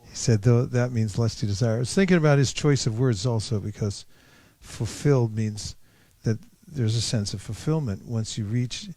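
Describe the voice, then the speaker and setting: A man leads a reflective group discussion. His voice is soft-edged and mellow, sitting at an ordinary pitch, with very little room around it. He thinks aloud, pausing and rephrasing as he goes. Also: dry recording. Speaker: discussion leader at a scripture class.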